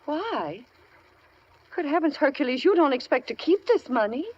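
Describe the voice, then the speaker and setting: An American actress playing a sharp, sensible, suspicious wife in an old-time radio drama, scolding in a no-nonsense way. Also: female voice, high voice, sing-song, normal speed. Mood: firm, disapproving. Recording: muffled vintage radio recording.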